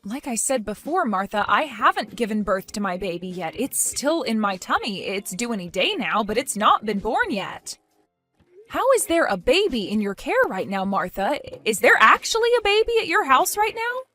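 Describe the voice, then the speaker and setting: A woman voicing a young pregnant wife who speaks quietly and hesitantly, pleading to understand. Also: mid-range voice, sing-song, normal speed, soft background music. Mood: bewildered. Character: soft-spoken young pregnant wife, confused and patient.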